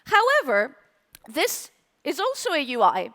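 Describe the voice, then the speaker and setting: A woman with a very high, lively voice speaks from a conference stage, her pitch bouncing up and down as she teases the crowd and quizzes them. Her words ring out with a long hall reverb behind them. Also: measured pace. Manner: animated, playful, asks the audience questions. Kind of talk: live tech conference talk. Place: conference hall with live audience.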